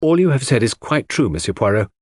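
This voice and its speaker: Man voicing a shaken, earnest woman, expressive. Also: medium pitch, fast, husky, dry recording, studio quality. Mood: relieved, grateful. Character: shaken, grateful woman confessing to a detective. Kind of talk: audiobook character dialogue.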